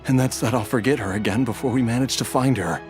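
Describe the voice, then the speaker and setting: An actor playing an ailing, devoted husband and father in a video game, halting and strained with emotion. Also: medium pitch, lightly acted. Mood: resigned. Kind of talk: scripted video game voice acting. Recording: music behind the voice. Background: background music.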